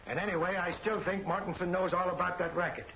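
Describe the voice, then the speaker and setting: An actor playing a stubborn, indignant, blustering bodyguard in an old 1940s film serial. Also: male voice, high-pitched, level tone, fast talker, rough recording. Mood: indignant, defensive. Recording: old film soundtrack, loud music behind.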